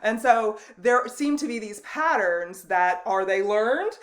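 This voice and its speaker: A woman instructor giving a recorded online lecture, speaking loosely and conversationally with frequent ums and restarts and a loud voice. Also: mid-range voice, expressive, slow. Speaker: college instructor.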